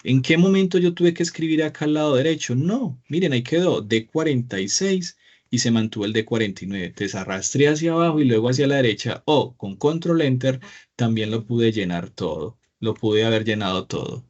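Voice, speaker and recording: A man's voice: mid-range voice, expressive, brisk, darkish tone. A man teaching a live online spreadsheet class, patient and encouraging, often asking the class questions. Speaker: Excel teacher. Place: small room indoors. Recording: slightly dull lo-fi sound.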